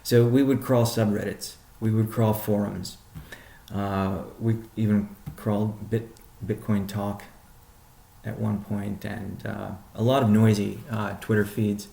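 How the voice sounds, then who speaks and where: A man speaking as a company chief executive on a conference call, answering questions in a measured, deliberate, halting way. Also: on the low side, level tone, gravelly, warm tone, echoey room.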